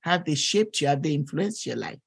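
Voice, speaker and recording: A woman's voice: deep voice, expressive, brisk, gravelly, husky. A woman hosting a faith podcast, introducing a guest and asking questions with plenty of fillers and encouraging "mm-hmm"s. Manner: gracious, many fillers and affirming "mm-hmm"s. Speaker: podcast host. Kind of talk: interview hosting.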